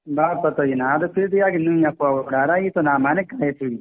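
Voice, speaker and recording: High-pitched Mewati man calling by phone. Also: brisk. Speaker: caller. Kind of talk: casual phone chat. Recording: muffled phone call recording.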